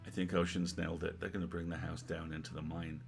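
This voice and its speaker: Deep-voiced man co-hosting a game livestream. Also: little pitch movement, quick pace, vocal fry, over music. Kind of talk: casual livestream chat with game narration read aloud.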